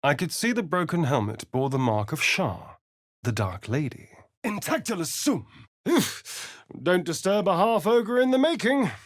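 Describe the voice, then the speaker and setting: An actor voicing a sly, vain vampire rogue for a video game, with an English accent and an arch, theatrical, drawling delivery. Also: male voice, sits high, sing-song, unhurried. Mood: incanting, then wry, sardonic.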